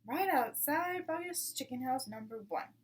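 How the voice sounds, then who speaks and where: A woman's voice: high-pitched, animated, measured pace, full character voice. A woman voicing a confident, crafty father fox in a storybook, with a jaunty, commanding manner. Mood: sly, eager. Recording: distant mic with clear background noise.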